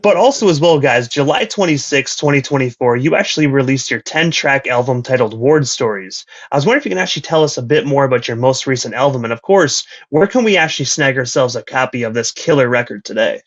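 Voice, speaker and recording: A man's voice: mid-range voice, animated, fast, few pauses. A man in his thirties interviewing casually and effusively for radio, with slangy, sweary talk. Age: 30s. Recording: dull lo-fi sound.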